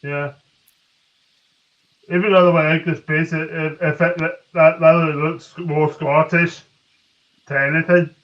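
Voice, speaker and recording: Male caller, a bit high, muffled line, halting and repetitive delivery. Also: level tone, a bit bright, distant mic. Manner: hesitant, repeats words. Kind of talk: casual chat on a live call.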